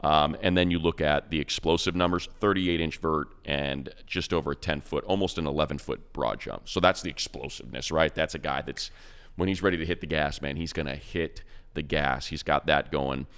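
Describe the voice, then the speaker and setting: A man co-hosting a sports podcast, breaking down a player with quick, enthusiastic stats and slangy praise. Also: very deep voice, fairly even intonation, a bit bright, clean tone. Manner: fast, enthusiastic stat-heavy breakdown. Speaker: radio play-by-play announcer, co-host.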